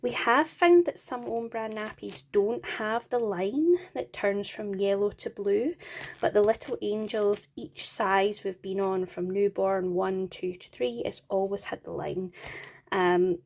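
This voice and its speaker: A woman's voice: medium pitch, fairly even intonation, measured pace, gravelly. Chatty Scottish woman vlogging. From Scotland. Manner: chatty and upbeat, with small laughs and "um" fillers. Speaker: parenting vlogger. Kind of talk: casual product walkthrough.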